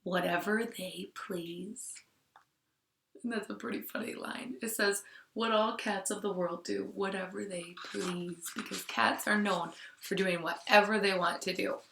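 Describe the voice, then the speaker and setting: A woman teacher reading a picture book aloud to children, expressively, with hushed asides. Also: mid-range voice, fairly even intonation, a little slow, creaky, a little hiss or hum. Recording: roomy, echoing sound.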